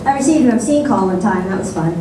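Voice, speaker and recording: A woman doing a stand-up comedy set on a club stage, with deadpan, sarcastic asides. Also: medium pitch, quick pace, noisy background. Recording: echoey club sound from a distant mic.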